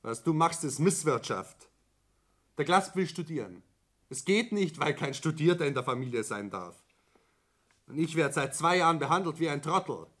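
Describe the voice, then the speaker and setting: An actor playing a resentful, stubborn young son and business heir in a radio play, speaking accusingly. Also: male voice, on the high side, animated, normal speed, a bit bright. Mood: bitter, accusing. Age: young adult. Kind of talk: German radio play acting.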